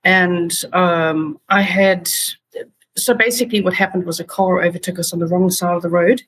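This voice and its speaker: A woman with a South African accent, a patient advocate telling her story in a relaxed interview with many fillers and restarts. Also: fairly low pitch, normal speed, slight background noise, low-quality recording. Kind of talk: casual interview talk.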